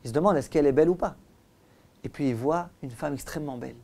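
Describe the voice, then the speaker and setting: A man giving a Torah class as a rabbi, didactic and emphatic, asking rhetorical questions, repeating for emphasis and letting phrase endings drop softly. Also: a little high, quick pace, creaky. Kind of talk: Torah lecture.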